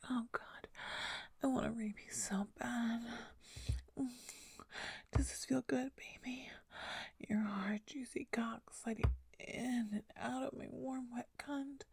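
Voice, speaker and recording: A young woman performing an intimate audio roleplay in a soft, breathy whisper close to the mic. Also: on the high side, fairly even intonation, takes it slowly, pauses often, no echo.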